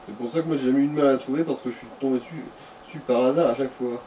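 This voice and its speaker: A man's voice: mid-range voice, fairly even intonation, racing, dark tone. A man commenting casually on a video game, giving a friend tips in an offhand, crude way. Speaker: let's play co-commentator advising the player. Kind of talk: casual gaming chat. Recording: distant mic with faint noise.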